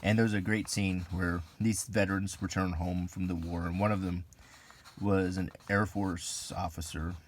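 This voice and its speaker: Man, very deep pitch, halting musing commentary, ASMR-style, unscripted, faint background noise. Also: flat delivery. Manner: musing, halting delivery with pauses. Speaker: video host reviewing an old magazine.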